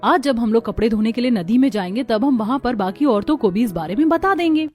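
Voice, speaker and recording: An earnest, enterprising village daughter-in-law in a cartoon, acted by a woman. The voice is high and expressive, with a homely manner. Faint music plays behind it. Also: fairly quick, close to an everyday voice. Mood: friendly, earnest. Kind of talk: scripted cartoon dialogue.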